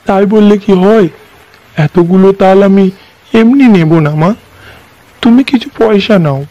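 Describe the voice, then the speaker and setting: A man's voice: sits high, unhurried, mellow, no room sound. A man voicing a kindly, courteous, fatherly village landlord in a cartoon, slightly muffled. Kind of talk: cartoon voice acting.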